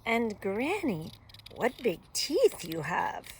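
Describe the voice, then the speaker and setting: An innocent storybook little girl acted by a woman, polite and earnest. Her lines lilt with wide-eyed trust and lively pitch. Faint noise sits behind her. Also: slow. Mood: wondering.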